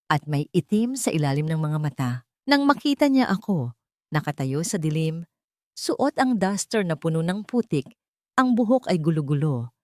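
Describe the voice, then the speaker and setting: A woman's voice: low voice, a little mellow, no echo, clean studio sound. A woman narrating a dramatic story in the first person, emotional and tense, with quoted dialogue.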